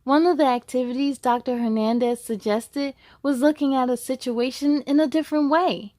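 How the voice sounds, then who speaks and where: A woman's voice: high voice, fairly even intonation, moderate pace, mellow, no room sound, studio quality. A woman hosting a children's read-aloud, speaking encouragingly and directly to young listeners between storybook passages. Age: adult.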